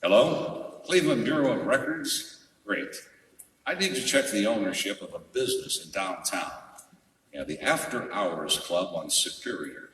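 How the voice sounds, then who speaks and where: An actor playing a cynical, wisecracking private detective in a noir radio drama, with a wry, tough-talking delivery. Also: male voice, fairly low pitch, animated, unhurried, fairly bright tone, slight background noise. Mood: businesslike. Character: wisecracking hardboiled male private detective, cynical and self-aware. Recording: roomy hall, distant mic, music behind.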